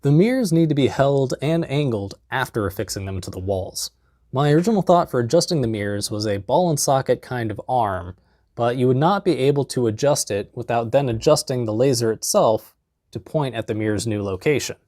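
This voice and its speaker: Young man, twenties, deadpan comic narration, mumbled asides, lapel mic, dead dry sound, average pitch. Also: fairly quick, no echo. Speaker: DIY maker vlogger. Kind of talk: comedic scripted tutorial narration. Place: basement workshop, indoors.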